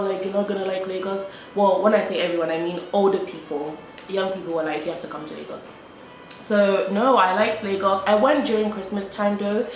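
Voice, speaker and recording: Young British woman, casual vlogger, roomy echo, faint hum behind. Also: mid-range voice. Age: young adult. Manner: chatty, repeats herself, frequent "like". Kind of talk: casual chat to camera while eating. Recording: echoey room with faint background hum.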